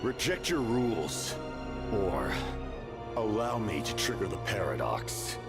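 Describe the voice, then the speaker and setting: A man's voice: sing-song, slow pace, heavily acted. A man voicing an ancient, cold entity of death in a game cutscene, grave and commanding, with game music behind. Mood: ominous. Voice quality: cavernous, deep.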